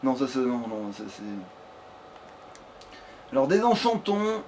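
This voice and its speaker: A man's voice: mid-range voice, very animated, a little slow, darkish tone, a little hiss or hum. A man giving casual let's play commentary, hesitating, muttering to himself and swearing casually.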